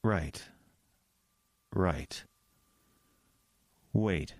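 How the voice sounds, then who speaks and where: A man's voice: sits low, very slow, dry recording, heavily acted. A man playing an earnest young small-town pastor who gets easily flustered, in an audio drama. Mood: bewildered. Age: young adult. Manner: earnest, stammering when rattled.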